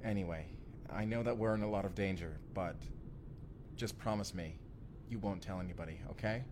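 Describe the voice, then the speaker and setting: Male actor as sincere young time traveler, lowish voice, confiding and casual, sci-fi audio drama. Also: a little fast, noisy background, natural voice. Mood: cautious, confiding. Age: young adult. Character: earnest, secretive young man from the future named Benji. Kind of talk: immersive audio drama roleplay acting.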